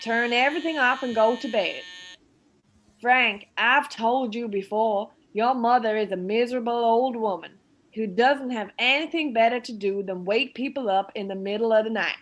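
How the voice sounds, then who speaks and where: A woman playing a bossy, scornful middle-aged daughter-in-law with a sharp, sarcastic, commanding manner in a radio play. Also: on the high side, moderate pace. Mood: irritated, sarcastic. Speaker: actor in an amateur radio play.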